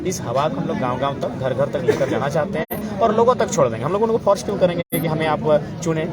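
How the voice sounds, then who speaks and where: A man's voice: a little high, expressive, quick pace. A young man with a Jharkhandi accent, an activist giving emphatic, explanatory interview answers in an oratorical way, repeating phrases for weight. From Jharkhand, India. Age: young adult. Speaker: political activist being interviewed. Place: outdoors at a public gathering. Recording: noisy outdoor crowd and traffic.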